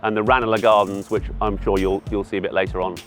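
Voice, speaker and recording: A middle-aged man with a fairly low voice answers interview questions informally, explaining his work. Faint music sits behind him. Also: level tone, fast. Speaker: event PA hire company representative, interviewee.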